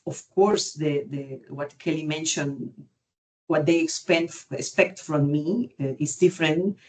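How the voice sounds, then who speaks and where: A woman from Cuba with a Cuban Spanish accent, speaking as an expert panellist in an unscripted webinar, searching for words in halting non-native phrasing. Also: sits low, a little mellow. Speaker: genetic counselling expert and panellist. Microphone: video call.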